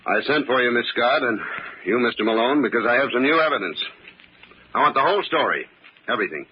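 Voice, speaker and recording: An actor playing a gruff, commanding police inspector in an old-time radio drama, brusque and authoritative. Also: male voice, medium pitch, expressive, quick pace, natural voice. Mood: stern. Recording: noisy, muffled old radio recording.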